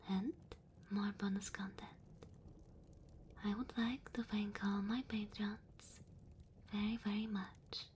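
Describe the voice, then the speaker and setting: A woman speaking in a soft, breathy whisper as an ASMR creator addressing her supporters. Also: mid-range voice, a little hiss or hum. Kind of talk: whispered ASMR outro, thanking patrons.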